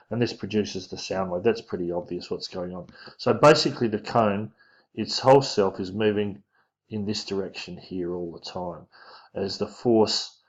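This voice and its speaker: Low-voiced man teaching, slightly dull recording. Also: darkish tone. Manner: halting, thinking aloud, casual. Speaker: physics teacher. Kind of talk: informal lesson explaining diagrams. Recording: dull lo-fi microphone sound.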